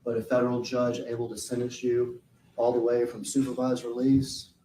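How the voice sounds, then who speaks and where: A man questioning a witness in a courtroom, slow and deliberate. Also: mid-range voice, monotone, dark tone, a little hiss or hum. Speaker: prosecutor. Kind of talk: courtroom direct examination. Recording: roomy courtroom audio from far off.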